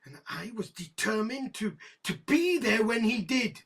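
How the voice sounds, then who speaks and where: An actress playing an embittered, guilt-ridden middle-aged senior police detective with a British accent, halting and volatile in a naturalistic audio drama. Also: female voice, on the high side, sing-song, husky, full character voice. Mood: anguished confession. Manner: halting, bitter, emotionally volatile.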